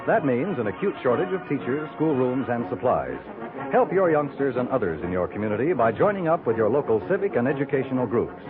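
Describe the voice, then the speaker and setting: Animated 1950s American radio announcer, male. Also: medium pitch, fairly quick. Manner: formal, declamatory. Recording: narrow-band 1950s radio broadcast recording. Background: music.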